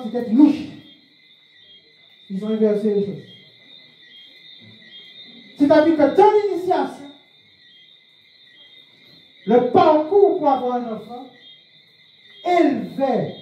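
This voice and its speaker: A man gives a talk to an audience, asking them questions and going back over his main points. His voice is mellow and distant, carried through a very echoey room. Faint background noise sits under him. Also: extremely high pitch. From France. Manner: questions the audience and repeats key phrases. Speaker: speaker of a spiritual movement.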